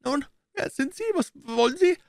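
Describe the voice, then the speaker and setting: A high, whimpering, timid little fellow. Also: male voice, lively intonation, normal speed, vocal fry, fairly bright tone, no room sound, studio quality, exaggerated voice. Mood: fearful. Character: timid, whimpering little man, nervous and shifty.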